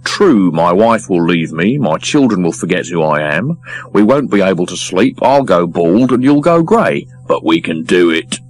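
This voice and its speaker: A man plays an easygoing male film editor in an audiobook. The voice is low and lively, with a flippant, bantering manner and a dry, resigned wit. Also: moderate pace, no echo, soft background music, low-quality recording.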